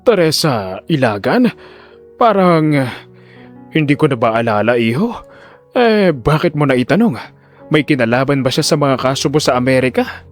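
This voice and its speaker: A man's voice: medium pitch, lively intonation, normal speed, pauses often, faint music behind, close to an everyday voice. A man playing a smug older hospital director in an audio drama, avuncular and self-important, putting on benevolence. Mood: puzzled, condescending. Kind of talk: audio drama villain dialogue.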